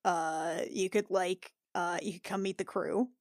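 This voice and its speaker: A woman playing a tabletop roleplaying game, improvising dialogue in a casual, halting, informal way. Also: fairly low pitch, steady tone, no echo, studio quality.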